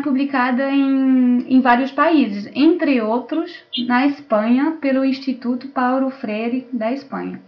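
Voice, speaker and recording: Woman moderator, higher-pitched, muffled online audio. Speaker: host and moderator. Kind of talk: panel introduction, semi-formal. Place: remote video call. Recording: dull lo-fi online call audio.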